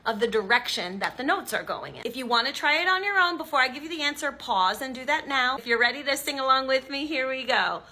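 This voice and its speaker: A woman's voice: sits high, animated, quick pace, hardly any pauses, bright, forceful tone. A woman teaching an online sight-singing lesson, explaining step by step in an encouraging way and now and then singing short examples. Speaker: music teacher.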